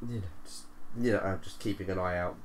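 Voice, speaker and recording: A man's voice: deep voice, fairly even intonation, fast. A man playing a tabletop roleplaying game, talking casually and hesitantly as a player. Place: living room, group seated around a table. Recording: faint room noise.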